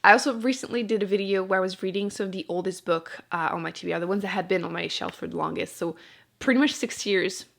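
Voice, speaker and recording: Woman booktuber just chatting, lots of vocal fry, rambly and kinda dry, keeps interrupting herself. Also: medium pitch, fast talker. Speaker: book vlogger and channel host. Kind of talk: casual chat to camera about books.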